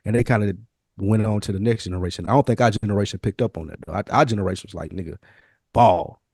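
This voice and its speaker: A man's voice: lowish voice, fast talker, darkish tone, slightly rough, no room sound, clean studio sound. A man co-hosting a casual podcast chat, talking in a slangy, profane, opinionated storytelling way. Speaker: podcast co-host.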